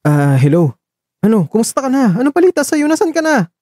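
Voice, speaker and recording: A man's voice: very high-pitched, big pitch swings, brisk, darkish tone, soft background music, pitched above the performer's own voice. A man playing a caring, nagging man who frets and gets exasperated in an audio drama. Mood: exasperated, anxious. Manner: exasperated, insistent, emotional. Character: Marco, caring young man, nagging and fretful.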